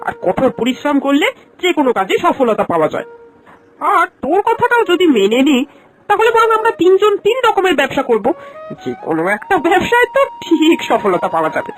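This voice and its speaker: A woman plays an optimistic young village wife in a cartoon tale. The voice is high and very animated, eager and encouraging, with faint music behind it. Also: fairly quick, low-quality recording. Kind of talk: Bengali moral-story cartoon voice acting.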